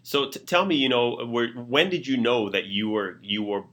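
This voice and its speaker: A man's voice: medium pitch, moderate pace, a bit bright. A man hosting a podcast, chatting informally and encouragingly with his guest. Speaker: podcast host, interviewer. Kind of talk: casual chat.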